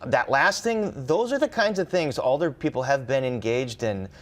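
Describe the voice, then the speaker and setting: A man's voice: mid-range voice, expressive, moderate pace. An American man, a reporter, explaining news in a conversational way, thinking aloud as he goes. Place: TV studio.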